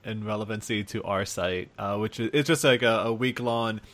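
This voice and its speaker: A man's voice: on the low side, fairly even intonation, normal speed, fairly bright tone. Man chatting casually about games. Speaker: podcast host discussing video games. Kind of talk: casual chat.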